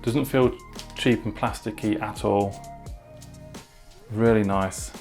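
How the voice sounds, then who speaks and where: A man's voice: lowish voice, steady tone, slow, darkish tone, soft background music. A man talking casually through a product unboxing and review in an informal way, with short asides.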